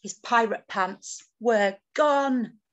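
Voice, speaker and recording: A plucky little octopus tells his storybook tale , acted out by a woman. The voice is fairly high and cheerful, with a sing-song rhyming lilt. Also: drawn-out words, muffled recording. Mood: cheerful storytelling.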